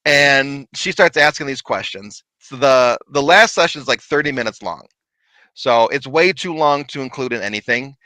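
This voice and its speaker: A man telling a lively, laughing story from his paranormal investigations in casual chat. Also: mid-range voice, normal speed, no echo, poor audio. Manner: animated, laughing, big voice.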